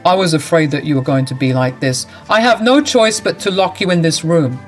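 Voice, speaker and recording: A woman puts on a cold grown son who talks in a lower, flat, matter-of-fact way, brushing his mother aside without any warmth. Faint music plays softly underneath. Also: fairly quick, close to an everyday voice. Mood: dismissive.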